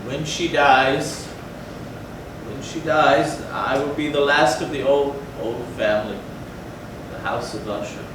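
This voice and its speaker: A man playing a gloomy, fearful, doomed gothic aristocrat in a brooding, halting, melodramatic staged reading. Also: mid-range voice, steady tone, lightly acted. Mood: grief, despair. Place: live theatre stage, recorded from afar. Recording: very echoey, distant, noisy hall.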